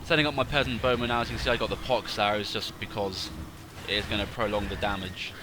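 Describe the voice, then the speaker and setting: Bunged-up guy with a lowish voice talking through a game replay, bit of noise humming behind him. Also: fairly even intonation, fast talker. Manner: rambling, self-correcting, thinking aloud. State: slightly congested from a cold. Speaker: gaming channel host. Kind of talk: casual game replay commentary.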